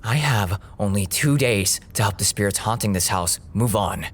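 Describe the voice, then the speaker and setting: A man's voice: lowish voice, lively intonation, moderate pace. A young man playing an earnest, nerdy college student with a stubborn streak in an audio drama. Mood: earnest, resolute.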